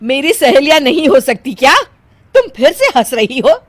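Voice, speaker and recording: A woman's voice: high-pitched, very animated, normal speed, background noise. A woman voicing a bossy middle-aged mother-in-law in a cartoon family story, speaking in a scolding, emphatic way. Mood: annoyed.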